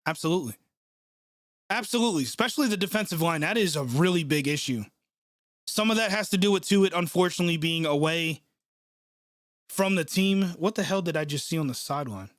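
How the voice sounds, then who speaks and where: A man's voice: on the high side, expressive, fairly quick, pauses often, no room sound, studio quality. A young man hosting a live sports reaction stream, stuffed up from a cold, reading chat and venting with sarcasm and swearing. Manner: frustrated, sarcastic, swears often.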